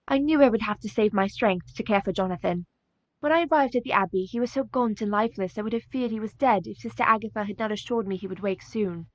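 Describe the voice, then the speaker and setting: An actress playing a devoted, steadfast young Victorian wife in a radio play, formal and tender. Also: female voice, mid-range voice, fast, dry recording, close to an everyday voice, rough recording. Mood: earnest narrating.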